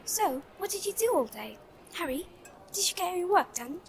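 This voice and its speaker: A woman's voice: very high voice, very animated, moderate pace, creaky, a little hiss or hum, exaggerated voice. A woman voicing an earnest, sensible young schoolgirl witch in a fan audio drama. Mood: friendly, curious.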